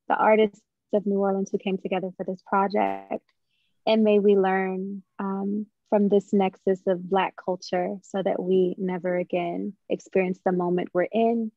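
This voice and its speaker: A woman's voice: mid-range voice, creaky, no room sound, narrow, tinny audio. A young woman giving earnest, reflective remarks as a guest on a video call. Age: young adult. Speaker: interview guest, playwright. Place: indoor room at home. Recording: band-limited video call audio.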